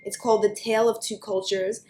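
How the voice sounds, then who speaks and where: A young woman with a New York accent, ranting to camera for her vlog in an animated, chatty way with plenty of "like". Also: medium pitch, echoey room, a little hiss or hum. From United States. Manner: animated, fast, chatty with many likes. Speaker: beauty vlogger.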